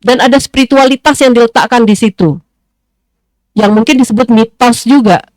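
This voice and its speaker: A woman panelist speaking in a discussion, thoughtful and pausing often with fillers as she reasons aloud. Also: fairly high pitch, darkish tone, no echo, rough recording.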